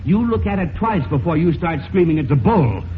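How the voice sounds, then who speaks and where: Blustering American family man, middle-aged, high-pitched and animated, vintage radio sitcom with dull, noisy sound. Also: fairly quick. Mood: grumbling. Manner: grumbling, sarcastic, easily flustered. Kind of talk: 1940s-50s radio comedy acting. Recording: muffled, noisy old radio recording.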